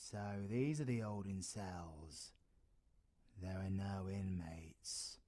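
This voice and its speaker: Guy acting as a british villain bloke, deep husky voice, roguish but protective, audio roleplay. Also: a bit bright, dry recording, exaggerated voice. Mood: concerned, subdued. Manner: sweary, teasing, colloquial. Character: roguish, protective young male supervillain, gruff yet tender.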